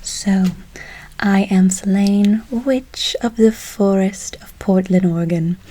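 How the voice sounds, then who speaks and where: A woman playing a kindly, mysterious forest witch in a slow, breathy whisper, for an ASMR role play with soothing repeated words and quaint phrasing. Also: mid-range voice, mellow, rough recording. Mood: soothing, gently boastful. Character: kindly, mysterious female forest witch casting good-luck spells.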